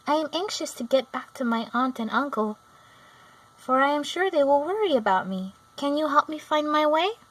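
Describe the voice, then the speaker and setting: A woman's voice: high-pitched, expressive, moderate pace, far from the mic, voice pushed up, low-quality recording. A woman voicing an anxious, polite young girl in a storybook, earnest and full of questions.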